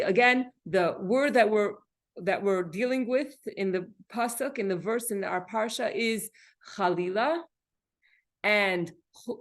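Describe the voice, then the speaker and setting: A woman teaching an informal class, explaining word meanings haltingly, repeating and rephrasing her points and putting questions to her listeners. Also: a little high. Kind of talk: informal spoken lesson explaining Hebrew vocabulary.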